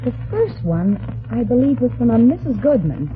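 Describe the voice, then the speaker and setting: An actress playing a polite, timid secretary, deferential and hesitant, in an old-time radio drama. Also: female voice, on the high side, big pitch swings, moderate pace. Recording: tinny narrow-band vintage radio recording.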